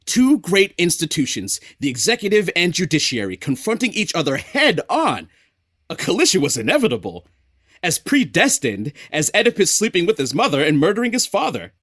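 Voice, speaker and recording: Exasperated, streetwise storyteller for audio drama: colloquial, rhythmic, very lively delivery, dry sound. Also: male voice, high-pitched, a little fast, no room sound, exaggerated voice. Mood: dramatic. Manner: colloquial, rhythmic, repeats phrases for effect. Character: streetwise, exasperated narrator of a city in crisis.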